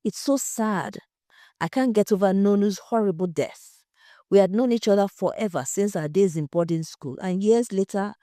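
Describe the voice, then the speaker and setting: A woman voicing an expressive married hostess in an audio story. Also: medium pitch, no echo, clean studio sound, voice pushed up, natural voice. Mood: sorrowful. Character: gracious married hostess, grieving friend, defensive when questioned.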